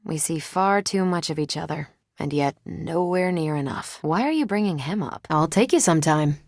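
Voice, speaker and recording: A woman's voice: low-pitched, animated, moderate pace, vocal fry, a little mellow, no room sound, clean studio sound, exaggerated voice. An actress playing a confident, friendly superhero in a video game, casual and wry in her delivery. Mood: wry, conversational. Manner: casual, wry, self-assured. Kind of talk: video game character voice lines.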